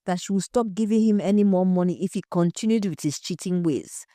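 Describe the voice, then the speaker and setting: A woman playing a timid, hushed household maid in an audio story. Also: lowish voice, a little fast, dry recording, clean studio sound, natural voice. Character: shy young household maid.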